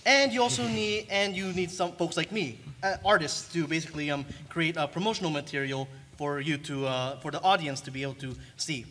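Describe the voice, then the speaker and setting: A man explaining things on a panel, halting and stumbling over his words. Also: fairly high pitch, a bit bright. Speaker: panelist, artist. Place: convention panel room. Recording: noisy room ambience.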